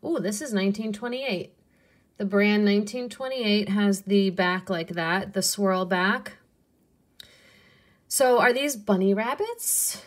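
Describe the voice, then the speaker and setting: Woman, young adult, unhurried, slow relaxed talk, soft muttered asides, casual haul video. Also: mid-range voice. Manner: unhurried, softly muttering asides, cooing over pieces.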